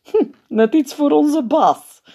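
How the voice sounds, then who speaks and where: A cheeky male helper who loves a joke, his remarks low and whispered, as a woman puts him on. His voice is mischievous and raised. Storybook reading. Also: slow pace, warm tone.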